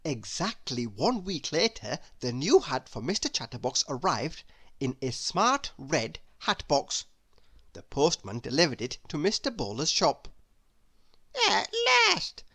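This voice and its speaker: A woman reading a children's storybook aloud expressively, with deliberate, drawn-out emphasis. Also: rumbling low, very animated, a bit bright, slightly rough. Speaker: storybook narrator.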